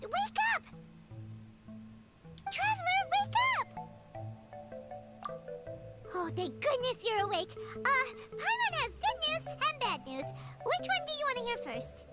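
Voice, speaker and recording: A woman voicing a small, excitable, anxious fairy companion in a video game, childlike and chatty. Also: very high-pitched, measured pace, long pauses, over loud music, full character voice. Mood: urgent. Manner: exclaiming, speaks of self in third person.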